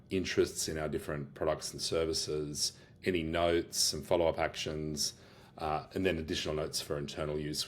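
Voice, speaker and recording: A man with an Australian accent walks through a software demo in a casual way, often restarting his sentences and using fillers. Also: sits low. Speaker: host of AI workshops and business owner. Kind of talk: screen-share product demo.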